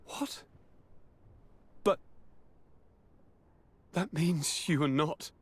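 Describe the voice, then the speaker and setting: A man's voice: fairly high pitch, drawn-out words, husky, heavily acted. An actor playing a needy, anguished young aristocrat in a video game, theatrical and formal in his delivery. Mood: urgent, stunned. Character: sickly, anguished young male aristocrat governor, melodramatic and needy. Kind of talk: dramatic video game acting.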